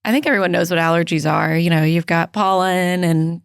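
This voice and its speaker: A woman nurse practitioner hosting a casual health podcast, a little hoarse as she loses her voice, chatty and laughing often. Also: fairly low pitch, brisk, no echo, studio quality. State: losing her voice.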